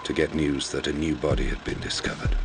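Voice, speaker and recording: Very deep, gravelly investigator narrator. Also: male voice, very animated, fairly quick, very noisy, exaggerated voice. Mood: brooding. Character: sombre male investigator recounting a murder case. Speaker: narrator and investigator in a mystery game. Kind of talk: scripted noir-like narration. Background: loud background music.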